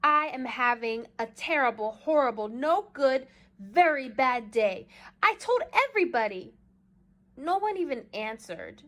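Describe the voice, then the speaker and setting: A woman reading a children's story aloud with dramatic, emphatic expression and drawn-out phrases. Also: sits high, expressive, a bit bright. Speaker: storybook reader. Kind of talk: expressive children's read-aloud in a child narrator's first person.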